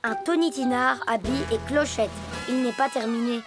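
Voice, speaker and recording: A woman's voice: on the high side, normal speed, lightly acted. A woman voicing a cautious, nervous young boy in a cartoon, with music behind. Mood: earnest. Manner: nervous, fussy, careful.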